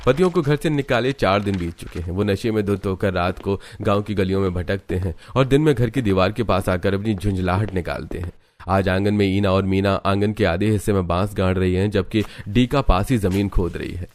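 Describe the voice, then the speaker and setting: Low-voiced man narrating a story. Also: fairly quick, a little mellow. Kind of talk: scripted cartoon story narration in present tense.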